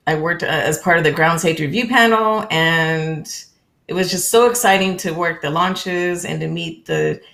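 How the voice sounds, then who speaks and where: A woman from California with a lowish voice and a gravelly edge. She talks in a friendly, conversational way over a video call and often pauses on an um. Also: fairly bright tone. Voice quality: friendly, with frequent ums and small laughs. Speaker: interview guest. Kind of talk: casual conversational interview.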